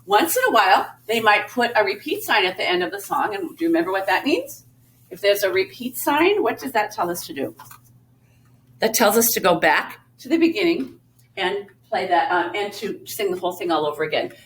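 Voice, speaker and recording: A woman's voice: mid-range voice, sing-song, a little fast, fairly bright tone. A woman music teacher giving a recorded lesson to young children, explaining patiently step by step. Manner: sings short phrases to show melody.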